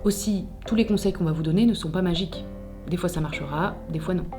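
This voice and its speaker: A woman's voice: fairly low pitch, quick pace, echoey room, music in the background. A woman presenting a scripted tutorial in a direct, conversational way with wry, playful humour. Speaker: presenter of job-search tutorial.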